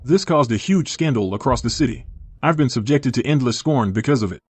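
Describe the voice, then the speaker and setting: A man playing a composed, shrewd imperial prince who argues formally and deliberately in a costume court drama. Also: mid-range voice, lively intonation, a little mellow, slight background noise, close to an everyday voice. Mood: composed, accusing.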